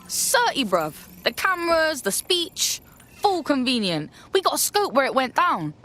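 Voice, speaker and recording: A woman's voice: high voice, sing-song, a little slow, sharp tone, full character voice. A woman playing a streetwise young hacker with a London accent in a video game, slangy and punchy. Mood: confident. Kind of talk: game cutscene voice acting.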